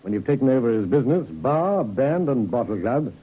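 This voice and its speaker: An actor playing a wry, composed British police inspector in an old radio crime drama, speaking in a dry, musing manner. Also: male voice, medium pitch, sing-song, a little fast, husky. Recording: dull vintage radio recording.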